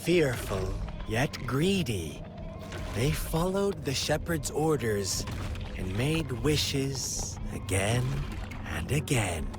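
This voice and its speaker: A man voicing a taunting, playfully menacing video game villain with theatrical, teasing, drawn-out delivery over music. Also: mid-range voice, very animated. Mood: ominous storytelling. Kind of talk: dramatic video game voice acting.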